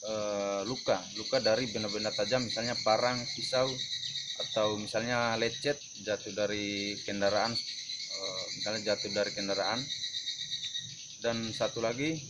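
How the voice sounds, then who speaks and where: Halting man over loud insect noise. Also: fairly low pitch, steady tone. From North Buton, Southeast Sulawesi, Indonesia. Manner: halting, explanatory delivery. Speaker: herbal remedy video presenter. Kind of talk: informal demonstration vlog. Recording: noisy outdoor audio with insects and birds.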